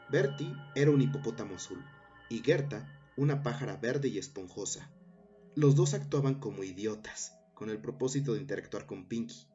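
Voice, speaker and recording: A man narrating a scary story in a measured way, with dramatic pauses. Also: medium pitch, warm tone. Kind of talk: scripted horror story narration. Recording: dull lo-fi recording with music underneath.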